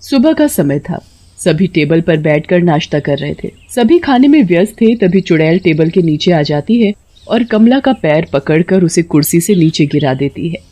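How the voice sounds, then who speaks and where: Mellow woman narrating a story. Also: on the low side, big pitch swings, normal speed.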